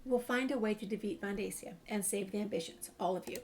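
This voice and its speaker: A woman's voice: medium pitch, fast talker, a little mellow. A woman playing through a video game, casually reading dialogue aloud and reacting. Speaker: gamer playing a walkthrough. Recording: noisy, ambient background sound.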